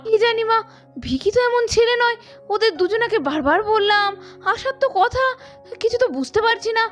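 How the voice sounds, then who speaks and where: A woman's voice: very high-pitched, lively intonation, brisk. A woman voicing an eager, caring young woman doll in a cartoon family story, with a girlish, chiding manner. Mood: worried. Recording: music behind the voice.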